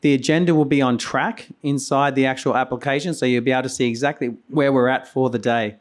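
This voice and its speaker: A hoarse man, losing his voice, hosting a conference and giving a loose, rambling keynote full of restarts and coughs. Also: medium pitch, steady tone, quick pace. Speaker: conference host and company founder. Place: conference venue.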